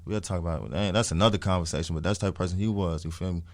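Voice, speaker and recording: Deep-voiced young man, emphatic. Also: very fast, darkish tone, no room sound. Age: early twenties. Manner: emphatic, repeats phrases, frequent swearing. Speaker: interview guest, music artist. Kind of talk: casual storytelling interview with heavy slang.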